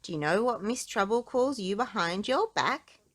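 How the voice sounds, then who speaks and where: A woman's voice: medium pitch, animated, moderate pace, a bit bright. A woman voicing a small, mild-mannered, put-upon man in a children's storybook, plaintive and polite. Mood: sly.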